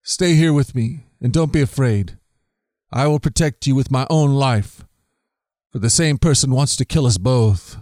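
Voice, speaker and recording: A man's voice: mid-range voice, unhurried, a little mellow, no echo, lightly acted. A man reading aloud, speaking as a fugitive leader who promises protection. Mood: earnest. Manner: earnest, protective. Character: determined young male fugitive leader.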